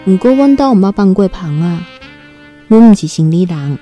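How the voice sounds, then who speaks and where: Timid young country girl, mellow voice, played by an actress. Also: medium pitch, lively intonation, normal speed, soft background music, close to an everyday voice. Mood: chatty, wistful. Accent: Taiwanese. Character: timid nineteen-year-old country girl new in Taipei. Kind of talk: Taiwanese radio drama, first-person storytelling and dialogue.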